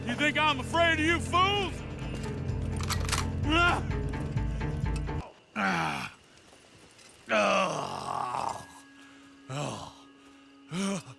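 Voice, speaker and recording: A man's voice: sits high, wildly swooping pitch, very slow, creaky, pauses often, over music, heavily acted. An actor playing a defiant, mocking horse thief villain in a Western game, his voice strained. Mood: defiant, furious. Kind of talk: game cutscene acting.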